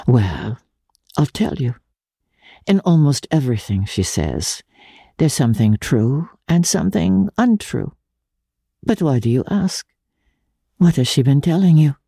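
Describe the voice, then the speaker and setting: Female reader as worldly young countess in literary drama: poised, reflective, ironic, with a dark husky tone. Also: sits low, animated, measured pace, long pauses, no echo, studio quality, exaggerated voice. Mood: guarded, questioning.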